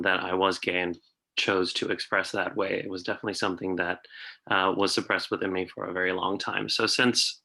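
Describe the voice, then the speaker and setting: Young guy from south africa on a zoom panel, deep flat voice, lots of ums. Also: poor audio. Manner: thoughtful, frequent ums and uhs. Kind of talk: conversational panel sharing. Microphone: video call.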